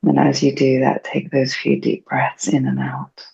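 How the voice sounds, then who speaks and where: Female meditation teacher. Soft-toned, husky delivery with some fry, soothing and unhurried. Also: rumbling low, monotone. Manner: gentle, hushed, unhurried phrasing. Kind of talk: slow, soothing guided meditation. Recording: dull, muffled lo-fi recording.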